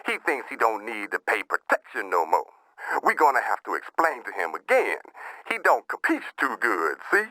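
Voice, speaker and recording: A slangy mobster boss from a crime video game. The voice is forceful and swings widely in pitch, in a very dry recording, handing out orders in clipped street talk. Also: male voice, huge pitch swings, normal speed, no room sound, full character voice, rough recording. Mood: menacing. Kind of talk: video game cutscene acting.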